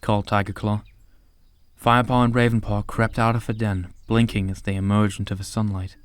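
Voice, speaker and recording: A male narrator reads scripted storybook prose. The voice is fairly low, and the sound is dry with no room on it. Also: flat delivery, brisk, clear, resonant tone, no echo.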